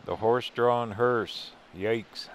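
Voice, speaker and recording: Older guy wandering a museum, slow and laid back, kinda low voice, camera mic with faint hum behind. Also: steady tone. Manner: easygoing, wry, chuckling asides. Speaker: RV travel vlogger. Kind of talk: casual walk-through narration. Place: indoor museum buildings.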